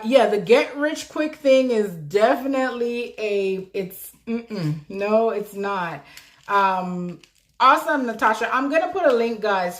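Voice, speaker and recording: A woman from South Florida, a notary business mentor, chatting live with viewers in an upbeat way and laughing often. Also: mid-range voice. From South Florida, USA. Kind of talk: live-stream chat answering viewers.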